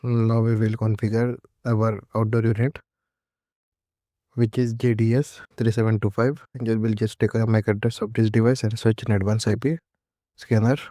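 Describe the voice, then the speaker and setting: A man giving an unscripted, step-by-step technical setup walkthrough in a halting, repetitive instructional way. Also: on the low side, flat delivery, warm tone, no echo, studio quality. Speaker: technical tutorial presenter.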